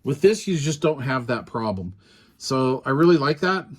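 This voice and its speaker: A middle-aged man reviewing a small two-way radio in a relaxed, rambling way, with lots of fillers. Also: mid-range voice, moderate pace, husky. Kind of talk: casual product review.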